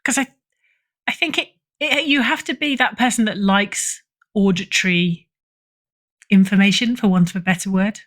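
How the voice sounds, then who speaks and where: A woman's voice: medium pitch, normal speed, a bit bright. A middle-aged woman with a British accent, chatting casually as an interview guest and often rephrasing mid-sentence. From United Kingdom. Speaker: podcast guest, fiction editor.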